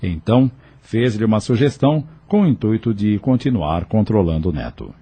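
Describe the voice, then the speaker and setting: A male narrator reads a scripted audio novel dramatically. His voice is husky and warm-dark, with very lively intonation that carries the story. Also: on the low side, normal speed.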